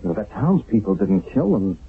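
Tired american army sarge, low voice, old 70s radio drama, muffled narrow sound. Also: male voice, very animated, moderate pace, slight background noise. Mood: grim, troubled. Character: weary, troubled American army sergeant in wartime. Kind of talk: 1970s radio drama acting. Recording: muffled narrow-band 1970s radio broadcast.

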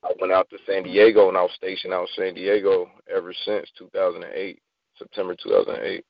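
Guy in his 30s from virginia, guest on a show, halting casual talk, muffled dry sound. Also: sits low, little pitch movement, dry recording. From Virginia, United States. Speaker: guest poet. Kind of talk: casual interview chat.

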